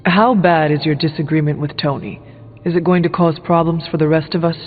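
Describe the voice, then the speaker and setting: An actor playing a level-headed, earnest warrior hero in a video game, with a measured and formal way of talking. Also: male voice, a little high, steady tone, lightly acted. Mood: serious, questioning. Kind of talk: video game character dialogue. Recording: phone-like band-limited sound under music. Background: music.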